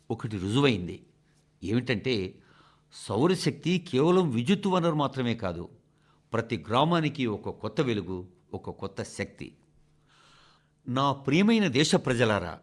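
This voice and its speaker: A man reads a scripted formal radio address as a translator's voice, in a measured, deliberate way, phrase by phrase. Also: a little high.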